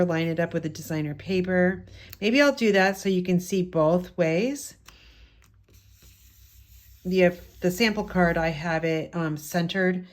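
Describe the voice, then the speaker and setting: Raspy-voiced woman getting over a cold. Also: lowish voice. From United States. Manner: chatty, step-by-step explaining. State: recovering from a head cold. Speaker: card-making instructor. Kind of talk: casual craft tutorial. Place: home craft room with open windows.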